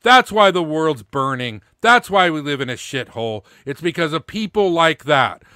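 A man's voice: on the high side, animated, moderate pace, pushed and bright, clean tone, no echo. A middle-aged man giving blunt, indignant, sweary opinion in unscripted commentary, close on a studio mic. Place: small indoor home studio. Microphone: close studio microphone with pop filter, headphones.

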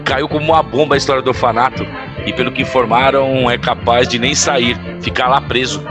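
A man's voice: medium pitch, steady tone, fairly quick, over music, close to an everyday voice. A man playing a casual, curious accomplice in an audio drama. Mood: amused.

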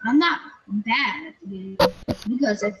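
A woman's voice: on the high side, lively intonation, a little slow, a bit bright, lo-fi sound, slight background noise. A female player in casual game voice chat, giving defiant, childish comebacks.

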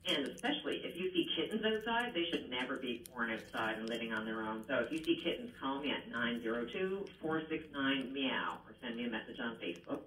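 A woman's voice: extremely low pitch, lively intonation, fairly quick, few pauses, fairly bright tone. A woman with a Canadian accent speaking earnestly as a radio interview guest, heard from a radio playing across a room. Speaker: animal rescue founder, radio guest. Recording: distant, echoey, noisy radio playback in room.

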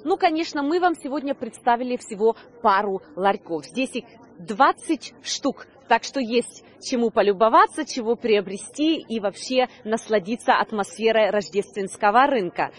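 A woman in her thirties with a fairly high voice reports on location, speaking into a handheld microphone outdoors. She presents to camera in a semi-scripted way, stumbling slightly now and then. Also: expressive, moderate pace. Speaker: video news presenter. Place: outdoor Christmas market.

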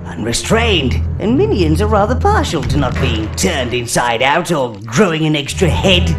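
Old game minion, raspy, servile, sly, theatrical, hugely animated pitch, music behind. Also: male voice, moderate pace. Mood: explaining, sly. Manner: fawning, theatrical narration to his master. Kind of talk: video game cutscene acting.

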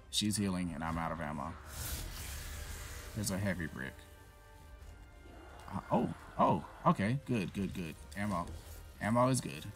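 A man's voice: low voice, fairly bright tone. A man casually commentating his gameplay, frustrated and swearing at the boss. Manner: frustrated, profane, talks to himself. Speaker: gaming YouTuber. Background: game music.

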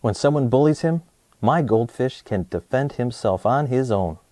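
A man in his thirties presenting and reading a children's storybook aloud in an expressive way, speaking to young listeners. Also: medium pitch, normal speed, no room sound, clean studio sound. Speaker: host of a children's read-aloud show.

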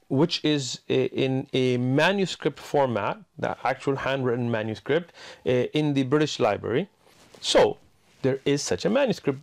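A man's voice: a little high, creaky, a little mellow. A middle-aged man giving an explanatory religious argument in an emphatic way, posing rhetorical questions. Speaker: Islamic speaker. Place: indoors, room lined with bookshelves.

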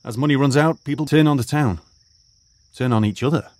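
A man's voice: mid-range voice, darkish tone, a little hiss or hum, close to an everyday voice. A man playing a lecturing old archaeologist teacher. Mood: measured. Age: older. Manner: measured, explanatory, professorial. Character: retired archaeologist turned high school teacher, lecturing and cautious. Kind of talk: audio drama acting.

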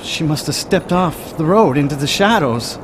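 An impatient, uneasy young man in a horror radio play, played by a male actor. He is curt and agitated, his pitch swings widely, and clear noise sits behind him. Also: expressive, moderate pace, lightly acted. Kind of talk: radio drama acting. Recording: noisy night-time drama soundscape.

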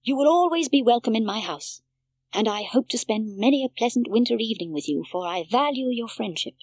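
A woman's voice: fairly high pitch, lively intonation, a little fast, breathy edge, dry recording. A woman reading a kindly village pastor. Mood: warm. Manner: warm and sincere.

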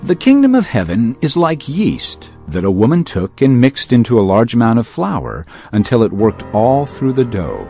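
An earnest male teacher in an audio Bible drama, telling parables to crowds. His mid-pitched voice swings widely and expressively while keeping a measured storyteller's delivery, with music underneath. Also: huge pitch swings, vocal fry, warm tone. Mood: gentle teaching.

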